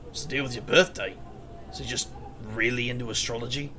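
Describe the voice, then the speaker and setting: A man's voice: medium pitch, a little fast, pushed and bright, lightly acted. A man playing a bumbling, defensive but loyal friend in an audio drama, wry and earnest in delivery. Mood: wry, puzzled.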